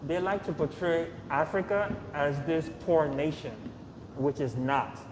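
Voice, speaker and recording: A man in his thirties, raised in Nigeria, answering a podcast host's questions with a fairly high voice and plenty of "uh" and "you know". The room is echoey with a long reverb, and clear ambient noise runs underneath. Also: measured pace. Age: 30s. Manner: soft-spoken, hesitant, many fillers, short yes answers. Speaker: podcast guest. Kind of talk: casual interview answers.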